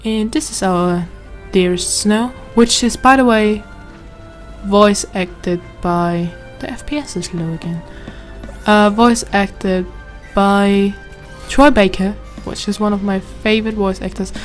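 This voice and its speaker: A woman's voice: medium pitch, fairly even intonation, slow. A woman with a German accent, chatting and reading game text aloud as she plays. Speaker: gameplay commentator. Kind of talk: let's play commentary. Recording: game music behind.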